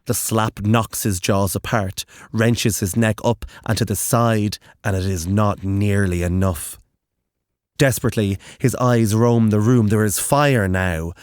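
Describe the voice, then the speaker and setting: A narrator reading dark, literary horror fiction in a deliberate, intense and dramatic way. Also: mid-range voice, no room sound, studio quality.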